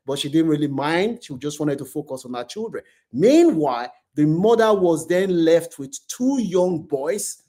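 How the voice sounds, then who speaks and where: A man's voice: a little high, darkish tone. A man hosting and telling a story informally, speaking emphatically and repeating phrases for effect. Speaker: host and storyteller. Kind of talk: informal spoken storytelling with commentary.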